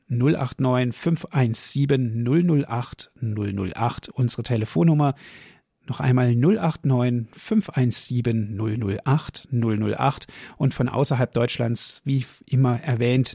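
Husky gravelly guy hosting a call-in radio show, formal, dry studio sound. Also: mid-range voice, warm tone, no echo. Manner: formal, reads phone numbers slowly and clearly.

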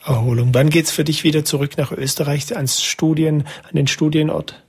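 A man's voice: fairly high pitch, fairly even intonation, normal speed, darkish tone, slightly rough. A man hosting a radio interview, asking his guest questions in a conversational way. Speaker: radio host.

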